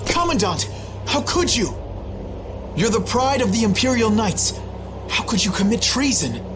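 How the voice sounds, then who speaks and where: An actor playing an honorable, dutiful young knight in a video game, with a formal, earnest delivery. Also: male voice, sits high, big pitch swings, unhurried, noisy background. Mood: shocked, urgent. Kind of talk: dramatic video game voice acting.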